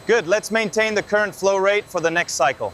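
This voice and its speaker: High-voiced man on a factory floor. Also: a little fast, fairly bright tone, slight background noise. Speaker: factory worker. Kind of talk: short work remarks on a factory floor.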